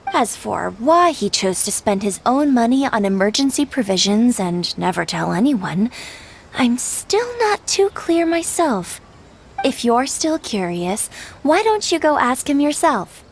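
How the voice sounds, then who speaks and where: Lively-pitched, polite shopkeeper woman. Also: vocal fry, heavily acted. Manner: courteous, measured, explanatory. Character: polite, composed, shrewd female grocery shopkeeper. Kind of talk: video game dialogue acting. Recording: faint music behind the voice.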